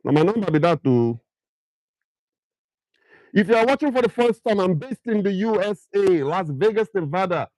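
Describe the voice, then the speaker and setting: A man's voice: sits high, lively intonation, normal speed, long pauses, a little mellow, no echo, low-quality recording. A middle-aged man from Nigeria with a Nigerian accent, hosting a casual livestream where he keeps firing questions at viewers and slips into pidgin. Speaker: livestream host.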